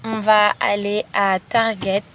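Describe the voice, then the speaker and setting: A woman's voice: mid-range voice, level tone, slow pace, fairly bright tone, a little hiss or hum. A woman in her twenties from France, chatting casually to camera in a vlog. She rambles and fills her talk with hesitations and repeated words. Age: 20s. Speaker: vlogger.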